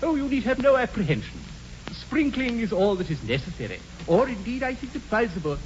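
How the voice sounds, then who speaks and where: High-voiced, unctuous English clergyman. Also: very animated. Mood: fussy, obliging. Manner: unctuous, pompous, drawn-out delivery. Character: unctuous, mild, fussy older country clergyman. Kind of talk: 1940s radio comedy acting. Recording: dull, noisy 1940s radio broadcast.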